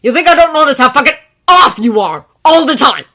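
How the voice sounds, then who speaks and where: Explosive teen boy read by a woman: shouting, cursing, voice cracks; bright tone. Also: mid-range voice, lively intonation, fairly quick, voice pushed up. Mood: furious. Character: furious teenage boy hero, explosive temper. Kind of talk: fan fiction reading.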